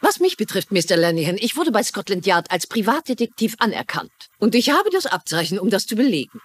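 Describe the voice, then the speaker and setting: Self-important, animated private detective. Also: medium pitch, brisk, husky. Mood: proud. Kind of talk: dubbed TV crime drama acting.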